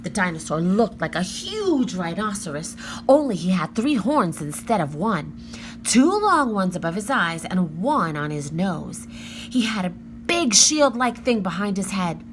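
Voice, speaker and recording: A woman narrating a children's book aloud with expressive, careful, dramatic phrasing. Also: medium pitch, a little slow, background noise. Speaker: storybook reader.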